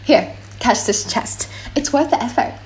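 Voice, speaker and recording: A woman's voice: on the high side, expressive, a little slow, darkish tone, a little hiss or hum. A woman playing a bashful young woman in love in a dramatised audiobook. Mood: embarrassed. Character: shy young woman in love, disguised as boy.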